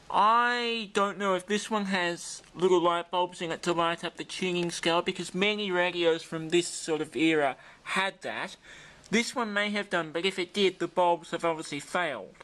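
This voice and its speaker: A man's voice: a little high, sharp tone. A man with an Australian accent giving a casual, unscripted show-and-tell, thinking aloud in a hesitant, detail-focused way. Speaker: vintage radio hobbyist, video maker. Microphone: handheld camera or phone microphone.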